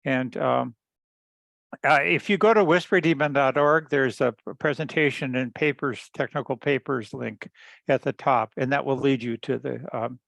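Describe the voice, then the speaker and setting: Low, creaky-voiced man explaining technical research. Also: a bit bright, no echo. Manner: halting, repeats words, frequent throat clearing. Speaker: engineer presenting research at a teleconference. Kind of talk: unscripted technical explanation on a group call. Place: group teleconference call.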